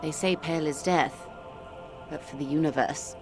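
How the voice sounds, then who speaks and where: An actress playing a composed, wealthy middle-aged corporate negotiator in a video game, speaking in a measured, articulate, world-weary way. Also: female voice, deep voice, over music, close to an everyday voice. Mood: wistful, probing. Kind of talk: video game character dialogue.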